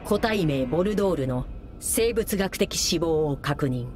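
An actress playing a composed, analytical officer who speaks in a formal, clipped reporting manner in a game cutscene. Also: female voice, deep voice, animated, unhurried, a little mellow, soft background music. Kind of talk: video game cutscene acting.

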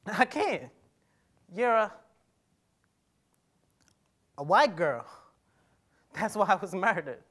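A young man acting a wary, wounded murdered teenage boy who speaks with a halting stutter, in a staged reading. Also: sits high, lively intonation, fairly bright tone, heavily acted. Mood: fearful. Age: young adult. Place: conference stage before a seated audience. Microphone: lapel mic.

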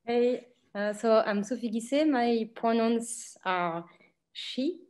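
Mellow woman from Belgium. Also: fairly high pitch, slow. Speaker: performer. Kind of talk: video call self-introduction.

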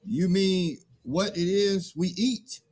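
A man's voice: high voice, slow pace, a little mellow. A man acting a naive, timid young man in a theatrical play reading, hesitant and bewildered.

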